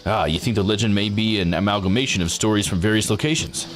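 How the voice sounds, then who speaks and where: A man voicing a genteel, thoughtful character from a visual novel, speaking in a measured and formal way. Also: lowish voice, soft background music, voice pushed down, natural voice. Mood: musing.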